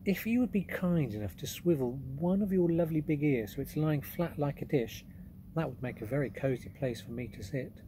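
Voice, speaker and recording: A man's voice: a little high, normal speed, mellow, slight background noise, close to an everyday voice, low-quality recording. A man doing a polite little girl. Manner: polite, earnest. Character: small polite young girl.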